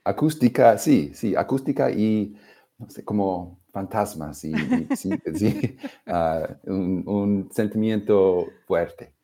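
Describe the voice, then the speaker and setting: Slow, halting man with a deep voice. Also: warm tone. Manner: halting, searching for words, many fillers. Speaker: singer-songwriter guest. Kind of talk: interview answers.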